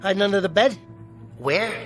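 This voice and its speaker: An actor playing a cocky, hot-tempered jockey in a radio drama, with streetwise, slangy, combative talk. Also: male voice, high-pitched, animated, moderate pace, soft background music. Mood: irritated, agitated. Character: cocky, defensive, hot-tempered jockey, quick to take offence.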